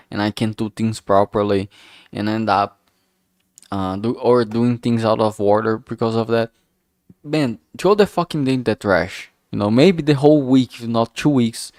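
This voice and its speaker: Low-voiced man, casual halting streamer. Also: darkish tone, no echo, studio quality. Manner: frank, heavily swearing, halting with self-corrections. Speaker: streamer, game developer. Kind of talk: casual rambling livestream chat.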